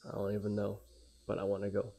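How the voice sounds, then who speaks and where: A young man with a casual, halting, sweary manner reading a visual novel aloud and commenting as he plays. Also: fairly low pitch, monotone, gravelly, warm tone. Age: young adult. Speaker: let's play streamer. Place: small room at home. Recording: dull lo-fi mic with faint noise.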